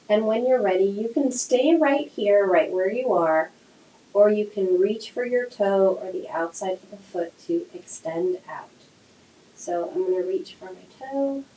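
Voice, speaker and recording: A woman teaching a slow yoga class with gentle, soothing cues, her voice a little nasal from a cold. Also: medium pitch. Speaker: yoga instructor. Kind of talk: guided yoga class instruction. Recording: echoey room, faint noise.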